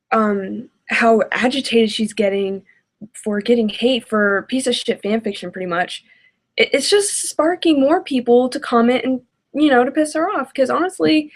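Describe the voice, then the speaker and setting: A woman hosting a reaction video with casual, sarcastic, foul-mouthed commentary. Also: fairly high pitch.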